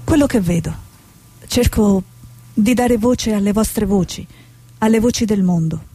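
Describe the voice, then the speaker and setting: An actress playing an earnest, idealistic female war reporter, speaking in a measured, reflective, theatrical way. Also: medium pitch, warm tone, husky, no echo. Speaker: stage actor. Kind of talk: stage drama, dialogue and reflective monologue.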